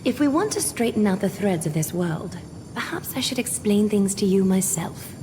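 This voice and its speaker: A woman voicing a composed, noble female leader in a fantasy game, speaking formally and with measured, gentle authority. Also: mid-range voice, expressive, background noise, lightly acted. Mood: calm, probing.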